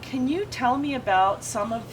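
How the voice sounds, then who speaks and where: A woman hosting an interview, asking questions in a gentle, hesitant way with repeated words. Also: high voice, expressive, normal speed. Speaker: interviewer, host. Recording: distant mic in a noisy room.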